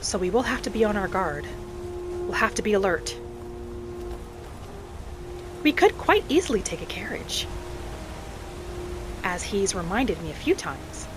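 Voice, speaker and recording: A woman narrating a game roleplay in character as a gentle, hopeful young elf adventurer, softly musing aloud. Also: medium pitch, big pitch swings, unhurried, pauses often, background noise. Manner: soft, musing, speaking thoughts aloud. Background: music.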